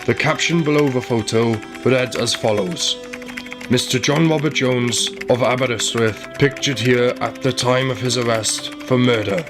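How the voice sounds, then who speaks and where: A man's voice: mid-range voice, moderate pace, lightly acted. Man playing an earnest investigator over music. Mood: sombre, factual. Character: earnest male investigator recounting a tragic case. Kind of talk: radio play acting and scripted narration.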